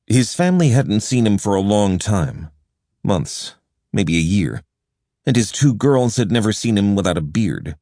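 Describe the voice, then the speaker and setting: A man narrating a nonfiction audiobook in a measured, even storytelling delivery, recorded close in a studio. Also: fairly low pitch, no echo. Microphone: close studio microphone.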